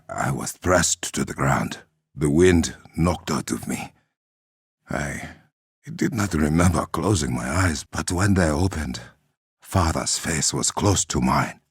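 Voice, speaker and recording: Regal warrior king for a game: African accent, solemn, honour-bound, measured authority, dry studio sound. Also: a bass voice, gravelly, husky, no echo, heavily acted. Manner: formal, measured, commanding. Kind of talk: dramatic video game character acting.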